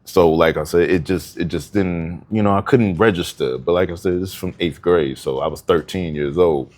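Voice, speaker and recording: A man's voice: deep voice, moderate pace, darkish tone. A man from New Jersey co-hosting a podcast, telling stories in casual chat with laid-back slang. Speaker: podcast co-host.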